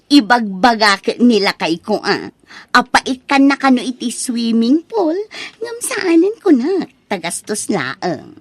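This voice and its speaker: A woman's voice: a little high, sing-song, takes it slowly, no room sound, heavily acted. A woman playing a vain, money-minded young village bride-to-be in a comic radio drama, with an Ilocano Filipino accent. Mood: boastful. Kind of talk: comedic radio drama acting.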